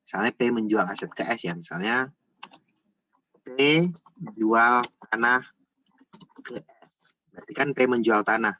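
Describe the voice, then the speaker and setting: A man's voice: mid-range voice, a little slow, long pauses, bright, forceful tone, lo-fi sound, a little hiss or hum. A man giving an informal step-by-step tutorial, repeating himself and often adding small checking tags. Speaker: accounting tutor.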